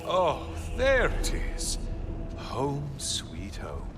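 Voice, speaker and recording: Smug, swooping high-voiced sun god. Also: male voice, wildly swooping pitch, very slow, loud music behind the voice, exaggerated voice. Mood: mocking, smug. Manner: sarcastic, taunting, swooping theatrical delivery. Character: mocking, theatrical male sun god held captive, smug and sardonic. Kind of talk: video game character acting.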